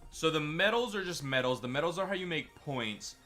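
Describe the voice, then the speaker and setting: A man streaming a game, chatty and excitable, sounding a bit stuffy from a cold. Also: a little high, a little fast, faint music behind. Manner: chatty, excitable over wins. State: stuffy, slightly sick. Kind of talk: gameplay commentary.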